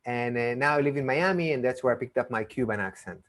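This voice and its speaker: A man's voice: mid-range voice, quick pace. A man who grew up in Argentina and Israel, with an accent from both, performing a magic show over a video call, chatty and playful with frequent restarts. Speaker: magician and mentalist. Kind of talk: live online magic show patter.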